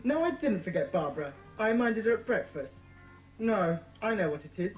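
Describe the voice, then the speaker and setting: Woman acting bossy, animated schoolboy leader. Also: medium pitch, brisk, faint music behind, close to an everyday voice, rough recording. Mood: bossy, irritated. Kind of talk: dramatised children's audiobook acting.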